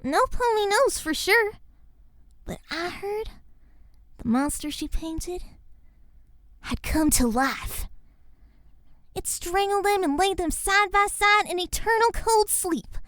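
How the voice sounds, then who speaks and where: A woman voicing a young filly pony who tells spooky stories in a slow, theatrical manner. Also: very high-pitched, lively intonation, a bit bright, no echo, clean studio sound, full character voice. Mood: hushed, eerie. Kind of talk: dramatic ghost-story telling.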